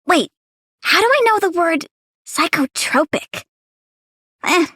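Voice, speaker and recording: A woman's voice: extremely high pitch, animated, slow pace, sharp tone, no room sound, clean studio sound, heavily acted. A young woman playing a childlike plant-girl villain in a video game, playful and curious, with a blunt, bratty, cheerfully menacing way of talking.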